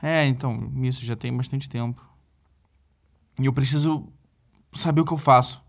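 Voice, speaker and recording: A man's voice: medium pitch, fairly even intonation, moderate pace, gravelly. An actor playing a needy, flustered young Brazilian boyfriend in a comedic audio drama, stammering and repeating himself as he pleads for help. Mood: pleading. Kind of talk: comedic audio drama, one side of a phone call.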